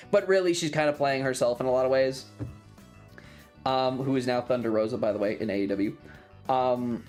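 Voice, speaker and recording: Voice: medium pitch, a little mellow. A streamer chatting casually and enthusiastically in a rambling, colloquial way, like a fan talking to friends. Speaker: streamer and content creator.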